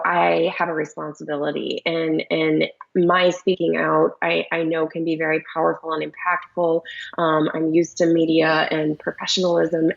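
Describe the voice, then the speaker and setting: A woman's voice: fairly low pitch, gravelly, highs cut off. A woman in her thirties from Michigan, a coach telling her story as a podcast guest in an earnest way with many restarts. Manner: earnest, frequent restarts and fillers. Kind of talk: candid interview talk.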